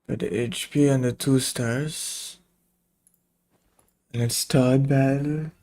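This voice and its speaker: A man's voice: mid-range voice, steady tone, takes it slowly, darkish tone. A man giving casual gameplay commentary, muttering short remarks to himself as he plays. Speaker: game streamer.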